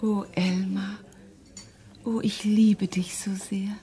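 A timid, lovestruck female accomplice with a medium-pitched voice. She speaks softly and hesitantly, often in whispers, in a radio crime play. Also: flat delivery, slow pace. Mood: adoring. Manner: soft, breathy, often whispering. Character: devoted, hesitant woman in love, timid accomplice. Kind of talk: German radio crime drama acting.

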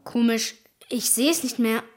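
A woman playing an excitable, easily alarmed young boy in a children's radio play, exclaiming and questioning. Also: on the high side, unhurried, faint music behind, lightly acted. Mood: tense watching. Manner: exclaiming, questioning, wide-eyed.

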